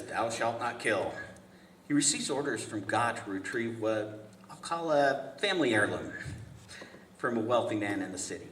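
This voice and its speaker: In a noisy, echoey room, a man acts out an earnest, patient family man at a table read. He is pleasant to a fault, chatty and hesitant, with a lively, swooping voice. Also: on the low side, far from the mic. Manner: hesitant, chatty, apologetic, stammering. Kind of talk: live script table read.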